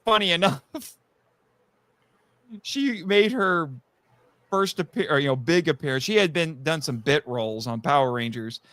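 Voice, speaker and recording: A man talking casually as a guest about his writing, telling stories in an animated way and acting out quotes. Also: on the high side, a little slow, long pauses, no room sound. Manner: animated storyteller, acts out quotes. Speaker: guest, audio drama writer. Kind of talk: casual chat.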